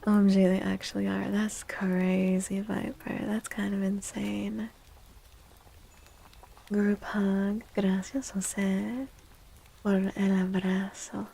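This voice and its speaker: A woman speaks in a soft, breathy voice with a crackle of fry, often dropping to a whisper. She takes her time, gently reassuring listeners in the manner of an ASMR tarot livestream. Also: medium pitch, little pitch movement, slow. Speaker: livestream host and tarot reader.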